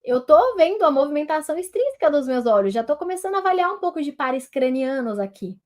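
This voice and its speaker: A woman's voice: high-pitched, fast talker. Congested, nasal Brazilian woman doctor teaching. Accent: Brazilian Portuguese. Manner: lively, addresses viewers directly as a group. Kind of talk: online medical lesson, demonstrating on herself. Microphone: webcam.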